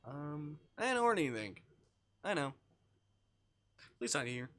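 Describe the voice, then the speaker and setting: A man's voice: a little high, very animated, slow, bright, forceful tone. A man chatting casually as a live streamer, muttering and swearing as he goes. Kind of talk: casual livestream chat, reading a visual novel aloud.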